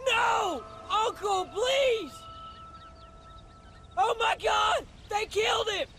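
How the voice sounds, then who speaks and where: A woman's voice: very high voice, extremely slow, a bit bright, raised voice, slight background noise, full character voice. An actress playing a frightened, pleading frontier wife and mother in a video game cutscene. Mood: anguished.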